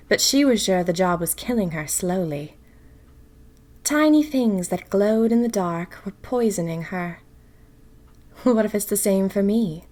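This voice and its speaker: A woman's voice: mid-range voice, a little mellow, close to an everyday voice. A woman playing a theatrical, wry young woman of the 1920s confiding anxiously in her diary for an audio drama. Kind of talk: first-person audio drama diary narration.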